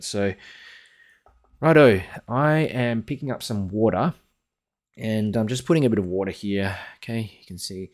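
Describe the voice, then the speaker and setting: A young man with a low, gravelly voice and a slight croak leads a live painting lesson. He chats loosely and thinks aloud as he works at his desk, picked up by a webcam mic. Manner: relaxed, chatty, thinks aloud while working. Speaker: watercolor teacher. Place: home studio, indoors.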